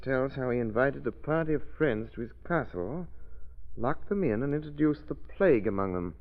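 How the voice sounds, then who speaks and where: A man playing a calm, shrewd gentleman detective in a 1930s radio drama, speaking in a measured, deliberate way. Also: medium pitch, close to an everyday voice. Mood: suspicious. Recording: dull old radio broadcast.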